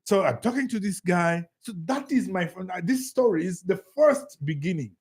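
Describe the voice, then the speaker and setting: A man's voice: high voice, normal speed. A man from Kenya whose first language is Swahili, hosting a casual chat and telling his story with lots of repeated words. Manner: animated, repeats words while searching for them. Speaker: podcast host.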